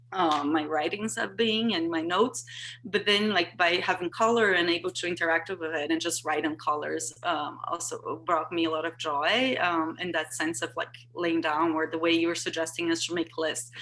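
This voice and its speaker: A woman's voice: fairly low pitch, fairly bright tone, slight background noise. A woman in her thirties whose first language is Portuguese, talking casually on a video call and often restarting as she searches for words. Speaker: workshop participant. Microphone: video call microphone.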